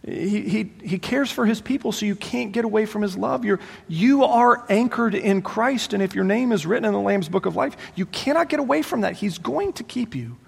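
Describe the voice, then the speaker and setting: A man preaching a sermon as pastor, animated and exclaiming, voicing his listeners' doubts aloud, in a large church sanctuary. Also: sits high, moderate pace, gravelly, few pauses, slightly rough. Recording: long hall reverb.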